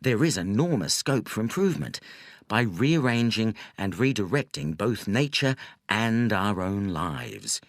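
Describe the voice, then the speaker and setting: A man narrating a nonfiction audiobook in a measured, deliberate, scripted reading style. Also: fairly low pitch, very animated, no room sound, clean studio sound. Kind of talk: scripted audiobook narration of a self-help business book.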